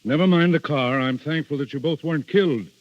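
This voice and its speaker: A man's voice: a little high, brisk, close to an everyday voice. An actor playing a genial middle-aged aristocratic father, formal and avuncular, in a vintage radio drama. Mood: relieved. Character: genial aristocratic father, an Italian duke, kindly and composed. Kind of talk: 1930s-40s radio acting. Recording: muffled old radio broadcast recording.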